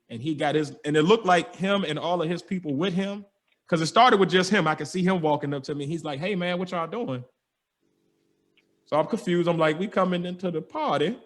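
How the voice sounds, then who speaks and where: Animated young man with a high voice. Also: fairly quick. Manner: lively, acts out dialogue in quotes, slangy. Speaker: podcast host telling a story. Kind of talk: animated storytelling.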